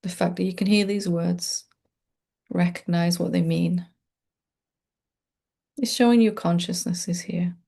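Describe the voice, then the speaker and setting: A woman meditation teacher leading a gentle, unscripted guided meditation in a soothing, informal and reassuring way. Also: fairly low pitch, fairly even intonation, measured pace, vocal fry, pauses often.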